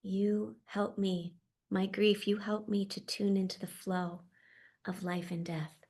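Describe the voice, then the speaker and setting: A woman gently leads a guided meditation, speaking slowly and softly, her words often drifting into a half-whisper. Her pitch stays level and even, with a slightly gravelly edge. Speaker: meditation leader.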